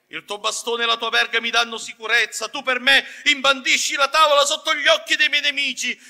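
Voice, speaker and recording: Fervent high-pitched male preacher in a hall. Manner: emphatic, swinging between measured phrases and fervent shouts. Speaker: evangelical preacher. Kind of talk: sermon. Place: church hall, indoors at a pulpit. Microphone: pulpit gooseneck microphone. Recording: reverberant hall.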